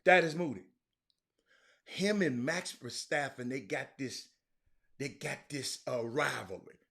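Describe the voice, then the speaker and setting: A man's voice: medium pitch, a little slow, gravelly, fairly bright tone. An American man hosting a casual sports livestream, chatting to viewers in a folksy way and repeating phrases for emphasis. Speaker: sports talk show host.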